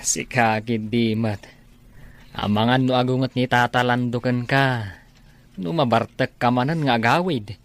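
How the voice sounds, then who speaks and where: A man's voice: mid-range voice, fairly even intonation, unhurried, natural voice. An actor playing a friendly, concerned male buddy in a radio comedy drama. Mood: concerned, urging.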